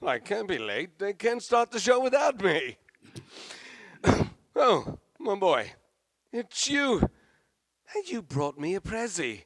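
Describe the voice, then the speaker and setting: A man's voice: high voice, very animated, slow, pauses often, no room sound, exaggerated voice. A man playing a pompous, gallant, drunken old stage actor in a radio play. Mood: jovial. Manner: pompous, theatrical.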